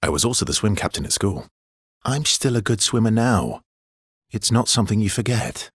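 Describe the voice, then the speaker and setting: An actor playing a brooding, gently teasing, guilt-worn man in a video game, with a husky, gravelly low voice and halting, understated delivery. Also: lively intonation, no echo, clean studio sound. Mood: playful. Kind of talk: naturalistic video game character dialogue.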